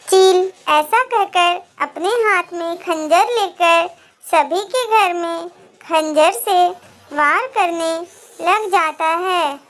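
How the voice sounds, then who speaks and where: A woman narrating a scripted children's animated moral story. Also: very high voice, slow, faint music behind.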